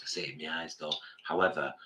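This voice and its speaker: A man's voice: rumbling low, fast, background noise. A man in his fifties with a cold, chatting casually as co-host of a live stream and telling rambling stories. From England. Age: 50s. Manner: rambling storyteller, animated. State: has a cold, a bit bunged up.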